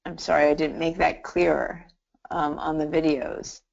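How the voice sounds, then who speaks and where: A woman's voice: deep voice, level tone, moderate pace, vocal fry, darkish tone, lo-fi sound. A middle-aged woman teaching hypnotherapy on a live online call, telling stories in a chatty way with many restarts and "you know". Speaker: hypnotherapy instructor.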